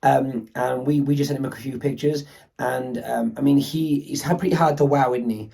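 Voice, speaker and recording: UK man, croaky gravelly reseller, mellow dark tone, rambles, speaks from off the mic. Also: mid-range voice, steady tone, normal speed, far from the mic. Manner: rambling, repeats words, self-correcting. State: sore throat. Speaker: co-host, luxury reseller. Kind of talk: casual chat to camera.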